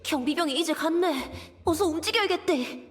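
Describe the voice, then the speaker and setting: A woman's voice: extremely high pitch, normal speed, soft background music. A woman voicing a nervous but determined young boy in a video game, with a childlike, earnest voice. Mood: anxious, tense. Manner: earnest, polite, occasional regional dialect.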